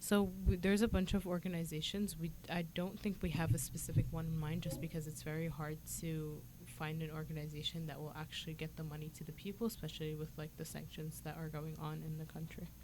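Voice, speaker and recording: Young female student, low and even, hesitant talker with lots of "um" and "like". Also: fairly quick, few pauses, noisy background. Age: young adult. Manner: hesitant, many fillers like "um" and "like". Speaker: student club member. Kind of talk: casual interview answers.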